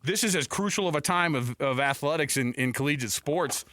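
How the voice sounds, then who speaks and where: An American man hosting conversational sports talk radio, thinking aloud with halting repeats on a close studio mic. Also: a little high, fairly bright tone. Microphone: close studio broadcast mic.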